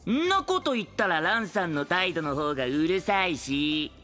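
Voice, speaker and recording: A male actor playing an excitable, cheerful young idol newcomer in an audio drama, boyish, eager and casual. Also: on the high side, normal speed, faint music behind. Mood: indignant, puzzled.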